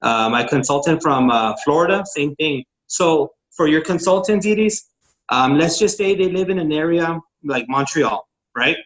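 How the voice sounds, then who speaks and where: A man's voice: fairly high pitch, expressive, normal speed, fairly bright tone, poor audio. A man, a network marketing consultant from Texas, casually teaching on a group call, eager and informal, with many fillers and restarts.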